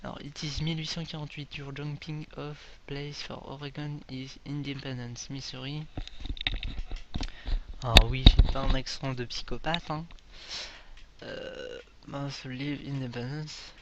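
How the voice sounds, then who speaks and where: Joking gamer, slightly dull recording. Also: male voice, medium pitch, flat delivery, unhurried, slight background noise. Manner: joking, reads on-screen English text aloud. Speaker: gaming video creator. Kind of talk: casual let's play commentary.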